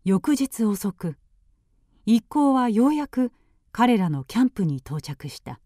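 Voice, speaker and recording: Mellow-voiced woman narrator. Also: a little high, very animated, slow pace, no room sound, studio quality. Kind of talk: scripted radio drama narration.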